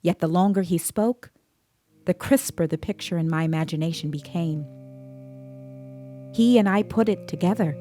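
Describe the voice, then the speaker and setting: An actress playing a tense, caring police investigator, narrating a grim story in measured, literary first person. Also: female voice, on the low side, dark tone, faint music behind, lightly acted. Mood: anxious, grave. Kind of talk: first-person scripted audio drama narration.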